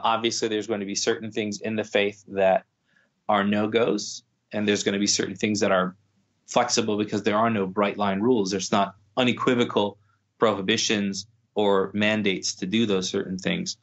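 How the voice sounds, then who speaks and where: Male podcast guest, measured and thoughtful, a little lo-fi. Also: lowish voice, steady tone, fairly bright tone. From United States. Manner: thoughtful, many restarts and fillers. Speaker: guest scholar. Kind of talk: podcast conversation.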